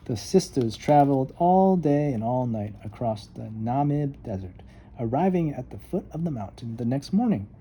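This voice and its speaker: A man narrates a picture book for children in a very warm, mellow, mid-pitched voice. His reading is expressive and emphatic, with faint noise behind it. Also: moderate pace, poor audio. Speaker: storybook reader. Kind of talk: read-aloud children's story narration.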